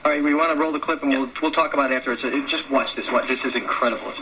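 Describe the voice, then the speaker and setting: A man performing comic banter as a radio guest, speaking earnestly and haltingly and repeating his words. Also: mid-range voice, dull audio. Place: radio studio. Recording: faintly noisy 1979 radio broadcast recording.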